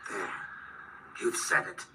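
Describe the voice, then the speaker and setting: An actor in a radio drama playing an anxious, earnest man who gravely insists on what he believes and fears being disbelieved. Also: slow pace, close to an everyday voice. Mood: tense. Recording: noisy radio drama recording.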